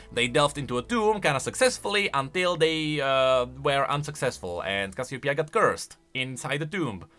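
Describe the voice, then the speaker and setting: A man hosting off-the-cuff commentary on video game lore in an excitable, emphatic way. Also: fairly high pitch.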